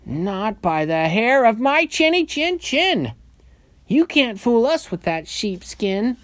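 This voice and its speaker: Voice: mid-range voice, sing-song, slow, a bit bright, dry recording, pitched above the performer's own voice. A storyteller voicing a lazy, merry little pig, a playful young brother in a children's tale. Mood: defiant. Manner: sing-song, giggly ho-ho laughing, calling out.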